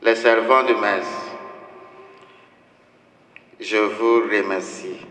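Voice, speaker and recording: A man whose first language is Yoruba, a priest speaking slow, halting French as he gives thanks at Mass in a large church. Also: fairly low pitch, steady tone, bright, forceful tone. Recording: echoey church hall.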